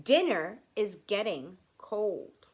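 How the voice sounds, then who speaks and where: A woman's voice: mid-range voice, very animated, slow pace, slightly rough, heavily acted. A woman voicing a kindly but firm grandfather in a children's storybook, speaking in a coaxing way.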